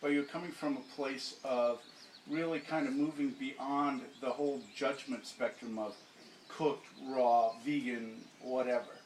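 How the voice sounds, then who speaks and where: A man's voice: mid-range voice, fairly even intonation, unhurried. A man hosting a casual interview in someone's home, effusive, laid-back and earnest. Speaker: interviewer, host of his own channel. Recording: roomy, distant, noisy recording.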